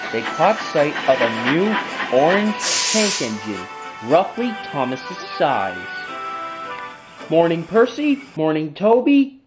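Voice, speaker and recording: A man's voice: on the high side, rough recording. A man narrating a scripted children's story.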